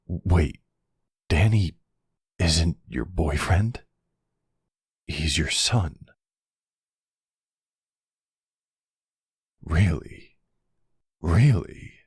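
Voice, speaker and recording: A man playing a suave, menacing middle-aged mafia boss in an intimate ASMR roleplay, gravelly and smooth-talking, with folksy tough-guy slang and courteous, flirtatious endearments. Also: very deep voice, darkish tone, dry recording, clean studio sound, full character voice. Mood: menacing, probing, then surprised, conciliatory.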